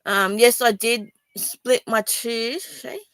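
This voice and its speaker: A woman's voice: fairly high pitch, steady tone, slow, fairly bright tone, dry recording. An Australian woman from Sydney speaking to viewers in a personal video, rambling and defensive, with many ums and restarts. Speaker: vlogger.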